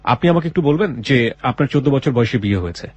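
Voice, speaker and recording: A man's voice: mid-range voice, animated, fast talker, lo-fi sound. A man hosting a radio interview, asking probing follow-up questions.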